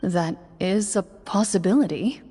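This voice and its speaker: A woman playing a composed, serious young female duelist in a video game, speaking in a measured, formal way. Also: medium pitch, mellow, big-room sound, faint music behind, lightly acted. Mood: reserved, thoughtful.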